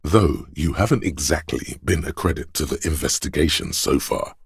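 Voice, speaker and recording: A man playing a solemn inner voice that narrates a police video game in a measured, literary way, deep and gravelly. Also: no echo, studio quality, exaggerated voice. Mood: grave, watchful. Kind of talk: literary second-person scripted narration.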